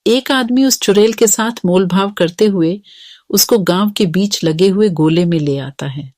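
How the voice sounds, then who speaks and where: A woman's voice: medium pitch, unhurried, darkish tone, no room sound, studio quality. A woman narrating a scripted cartoon story as the storyteller.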